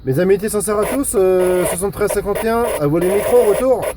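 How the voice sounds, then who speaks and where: A man's voice: sits high, a little fast. A man giving casual outdoor commentary as a radio operator, hesitant and conversational, often repeating himself. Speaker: amateur radio operator and civil security volunteer. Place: outdoors in a storm. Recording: windy outdoor noise. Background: faint wind noise.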